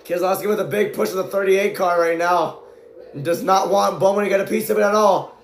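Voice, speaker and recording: Excitable amateur male race caller, high voice, hoarse from shouting, echoey room. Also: fairly quick, slight background noise. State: voice going hoarse from strain. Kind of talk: excited live play-by-play commentary.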